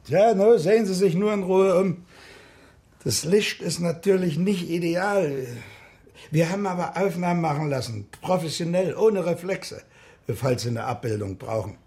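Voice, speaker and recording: An older male club hobbyist in a radio play. His voice sits fairly high for a man and is full of creak. He talks in a chatty, colloquial way with strong opinions and small asides. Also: normal speed, warm tone. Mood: chatty, proud. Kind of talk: naturalistic radio drama acting.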